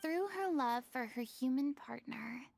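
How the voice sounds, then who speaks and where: A woman's voice: high-pitched, expressive, unhurried, vocal fry, darkish tone, exaggerated voice. An actress playing a poised, earnest, thoughtful young lady in a video game. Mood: reflective.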